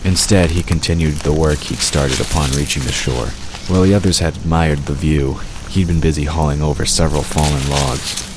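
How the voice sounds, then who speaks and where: A man with an extremely deep voice narrates a scripted audio drama. Clear ambient noise runs behind his storytelling. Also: steady tone, normal speed, few pauses.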